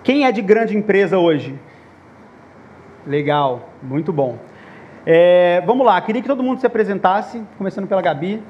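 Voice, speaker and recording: Male panel moderator, high voice, chatty with many fillers, slightly dull sound. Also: moderate pace. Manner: lively, uses many fillers and self-corrections. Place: conference stage.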